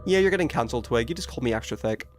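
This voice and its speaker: Animated man chatting on a game livestream. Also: mid-range voice, racing, faint music behind. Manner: playful, teasing, swears casually. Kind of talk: casual chat while playing a horror game, reading and answering chat.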